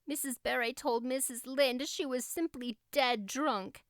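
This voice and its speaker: A high, clear voice of an earnest, heartbroken young girl, played by a woman narrator. She speaks with plaintive drama and effusive feeling, as a storybook heroine in an audiobook. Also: steady tone, a little slow, dry recording, studio quality. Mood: earnest, upset. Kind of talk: audiobook character acting.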